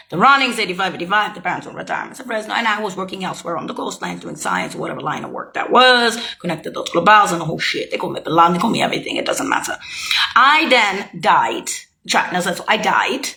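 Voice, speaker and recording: Heated woman with a gravelly, bright voice. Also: mid-range voice. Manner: heated, profane, accusing; loose, jumping from thought to thought. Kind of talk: rambling monologue to camera.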